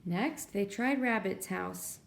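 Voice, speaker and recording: A woman reading a picture book aloud to preschoolers as a story time host, in an expressive, simple way. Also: medium pitch, takes it slowly, vocal fry. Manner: expressive, simple delivery for young children. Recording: roomy, echoey sound.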